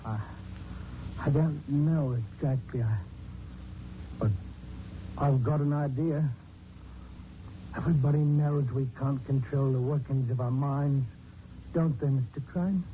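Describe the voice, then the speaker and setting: Man playing a slow-talking brooding killer policeman, old radio. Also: medium pitch, steady tone, close to an everyday voice. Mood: brooding, musing. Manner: halting, brooding, turning menacing. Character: big strong police sergeant, brooding secret strangler, mad. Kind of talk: 1940s radio drama acting. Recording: dull noisy 1940s radio recording.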